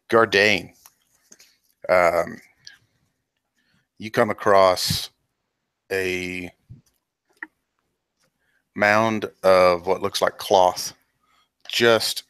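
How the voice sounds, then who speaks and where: Low voiced guy gm, punchy bright tone, dry close sound, just describing stuff calmly. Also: clean tone, no echo. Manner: plain, measured scene description. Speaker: game master. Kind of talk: describing scenes in a tabletop game.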